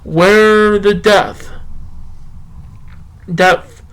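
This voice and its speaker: A man playing a slow, earnest poetry show host with a drawn-out, halting delivery. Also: high-pitched, fairly even intonation, fairly bright tone, smooth, voice pushed up, full character voice. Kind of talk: halting poetry recital and rambling podcast talk.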